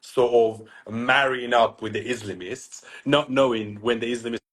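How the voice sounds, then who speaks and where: A man's voice: mid-range voice, fairly even intonation, normal speed, a bit bright. A man from Iran who grew up there, speaking as a guest on a call, emphatic and opinionated, stretching key words. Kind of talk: opinionated casual interview talk. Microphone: phone on a video call.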